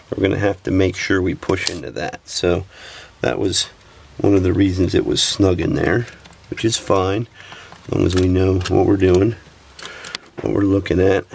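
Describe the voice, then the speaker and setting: A man's voice: low voice, gravelly, slight background noise. A sniffly man talking through a camera repair step by step as he works at a workbench. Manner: relaxed, talks while working with hands. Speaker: repair technician. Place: workbench, indoors.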